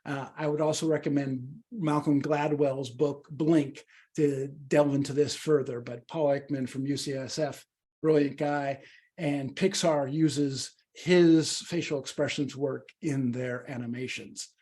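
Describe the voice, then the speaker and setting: A man leading a public speaking workshop, teaching in an animated, emphatic, conversational way with a slightly husky voice. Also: medium pitch, unhurried. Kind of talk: instructional talk with questions and answers.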